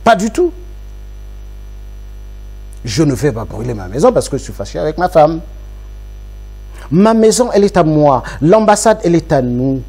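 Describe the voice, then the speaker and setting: A man's voice: a little high, big pitch swings, normal speed, pauses often, dark tone, husky, soft background music. A man from Congo, an opposition politician speaking in an interview, making his points emphatically with rhetorical repetition. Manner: emphatic, rhetorical, repeats phrases for effect.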